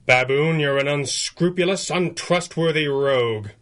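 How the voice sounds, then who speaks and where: A man's voice: a little high, measured pace, fairly bright tone. A man playing a suave billionaire playboy superhero with a dry, understated manner in a pulp radio drama. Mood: indignant. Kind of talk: 1930s pulp radio acting.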